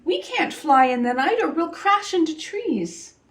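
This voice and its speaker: A woman voicing an earnest, excitable young female fruit bat in a children's storybook. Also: very high-pitched, a little slow, pitched above the performer's own voice, exaggerated voice. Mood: surprised, anxious. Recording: echoey room, distant microphone.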